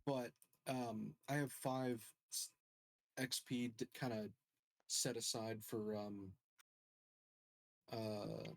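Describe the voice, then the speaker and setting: A man talks casually as a player at a tabletop game. His pitch sits in the middle for a man. The sound is very dry and free of room echo. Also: flat delivery, takes it slowly, long pauses.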